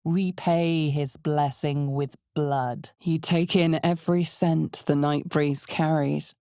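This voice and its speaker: Casting: a knowing, ominous female narrator for a dark fantasy video game. Deep for a woman, gravelly, measured storyteller delivery. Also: steady tone, no room sound, studio quality.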